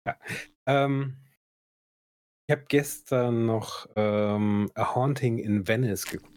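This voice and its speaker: A man's voice: medium pitch, steady tone, slow, darkish tone, dry recording. A man co-hosting a casual podcast chat, laid-back, with many hesitant fillers. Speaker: podcast co-host.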